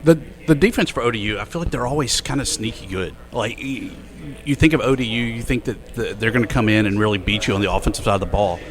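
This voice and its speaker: A man's voice: fairly low pitch, quick pace, few pauses, a bit bright. A man co-hosting a podcast, asking long, winding questions in an interview. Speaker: podcast co-host. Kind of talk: media day interview.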